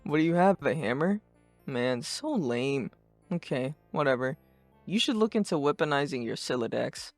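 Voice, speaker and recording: A performer voicing a brash, sarcastic, too-cool teenage boy who rants and swears with deadpan cool in a fan-dubbed comic. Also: medium pitch, normal speed, no echo, close to an everyday voice. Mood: cool, nonchalant. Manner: foul-mouthed, ranting, deadpan cool. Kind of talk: fandub of a webcomic chat. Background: music.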